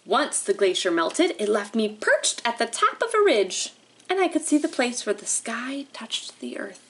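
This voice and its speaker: A woman's voice: a little high, big pitch swings, normal speed, fairly bright tone, a little hiss or hum. A woman voicing a proud, contented old rock who reminisces expressively in a children's storybook. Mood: reminiscing. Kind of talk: children's storybook read-aloud.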